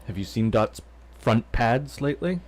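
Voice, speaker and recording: Lively-voiced chatty male co-host. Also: medium pitch, moderate pace. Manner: chatty, teasing, laughs easily. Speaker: co-host reading viewer questions.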